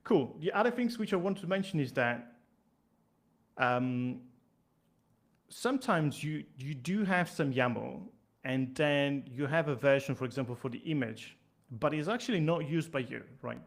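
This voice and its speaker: A man's voice: medium pitch, animated, unhurried. A man giving a casual online technical explanation, with fillers and repeated words. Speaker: trainer.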